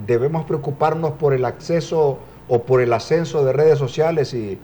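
A man's voice: mid-range voice, normal speed, dark tone, slight background noise. A man hosting a TV show, asking teasing, probing questions in a playful, casual on-air chat. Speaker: TV host.

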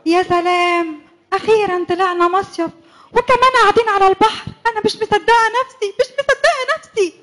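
A suspicious young wife with an Egyptian accent, played by a woman in a radio drama, pointed and quick to accuse. Her voice sits high. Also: soft background music, close to an everyday voice. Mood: delighted. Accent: Egyptian Arabic. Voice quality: sharp, needling, quick to accuse. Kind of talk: church radio comedy-drama acting.

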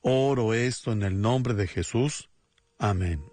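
Earnest man leading a slow prayer. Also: lowish voice, darkish tone. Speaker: pastor. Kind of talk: Spanish-dubbed radio prayer and sermon voice-over.

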